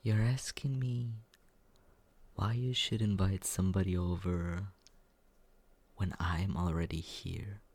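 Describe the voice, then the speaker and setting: Man acting a smug teasing young guy, ASMR roleplay, breathy whispered murmur, creaky, unhurried drawl, condescending yet secretly caring. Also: lowish voice, a little mellow, heavily acted. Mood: scolding, teasing.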